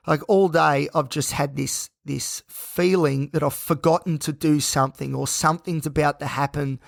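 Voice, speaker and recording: A man hosting a solo podcast, talking in a rambling, tired, self-reflective way with a croaky, hoarse voice. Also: on the high side, no room sound, clean studio sound. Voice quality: gravelly. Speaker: podcast host.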